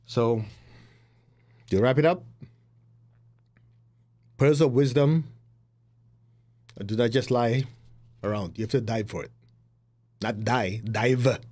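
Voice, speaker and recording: A man's voice: mid-range voice, slow, long pauses, darkish tone. A middle-aged man with a Chinese accent gives a blunt, direct spiritual talk as a teacher. He acts out the voices of the people he quotes and laughs at his own jokes.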